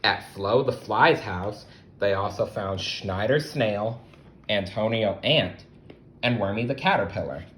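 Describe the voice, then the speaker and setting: A man reading a children's story aloud as storyteller, expressive, with conversational asides to the listener. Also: on the low side, measured pace, slight background noise.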